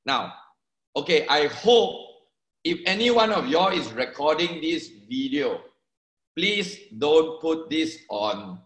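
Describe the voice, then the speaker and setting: A man's voice: medium pitch, a bit bright. A man in his forties giving an emphatic, repetitive motivational seminar talk to a live audience, explaining points as he draws them on a board. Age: 40s. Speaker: network marketing speaker. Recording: echoey room.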